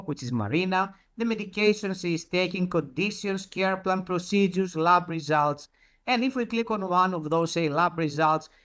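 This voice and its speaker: A presenter giving a prepared talk in a storytelling style, deliberate and using repetition for emphasis. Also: mid-range voice, a bit bright.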